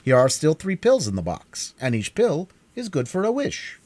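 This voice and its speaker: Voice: mid-range voice, huge pitch swings, normal speed, a little mellow, no echo, voice pushed up. A reader voicing a polite, earnest stuffed scarecrow in a storybook, speaking emphatically.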